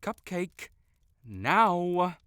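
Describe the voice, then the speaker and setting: A man's voice: high-pitched, big pitch swings, extremely slow, sharp tone, smooth, no room sound, studio quality, heavily acted. A man playing a haughty, wordy young wizard who sputters as he is compelled to honesty, in an audio roleplay addressed to the listener. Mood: indignant, haughty. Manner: pompous, theatrical, wordy, sputtering.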